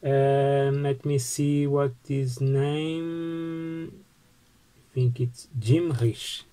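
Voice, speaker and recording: A man in his forties with a Portuguese accent, showing his postcards on a webcam in a book-lined home study, slow and halting with frequent restarts. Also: medium pitch, flat delivery, dark tone, smooth. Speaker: postcard collecting vlogger. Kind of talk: casual show-and-tell vlog.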